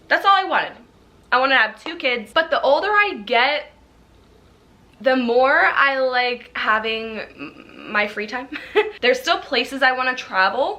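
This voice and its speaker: A woman in her twenties talks with her viewers in a casual vlog, chatty and quick to laugh. Her voice sits fairly high and sounds very bright. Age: 20s. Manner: chatty, animated, laughs often. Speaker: YouTuber.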